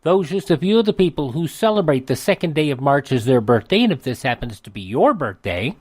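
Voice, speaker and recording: A man's voice: on the high side, big pitch swings, a little mellow, dry recording. American man, radio host, almanac reading, chatty asides, dry close mic. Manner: chatty asides, drawn-out names. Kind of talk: scripted radio almanac narration. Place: radio studio.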